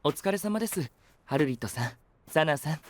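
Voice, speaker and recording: A man's voice: on the high side, lively intonation, fairly quick, faint music behind, close to an everyday voice. An actor playing a polite, composed and analytical young man with a formal, courteous way of speaking in an anime audio drama.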